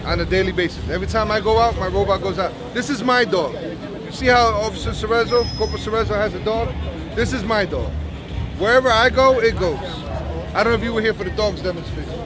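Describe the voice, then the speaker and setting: A man's voice: sits high, fast. A man in his thirties, a bomb squad officer, chatting and explaining his gear to a crowd at an outdoor community event with many restarts. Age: 30s. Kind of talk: casual demonstration to a crowd.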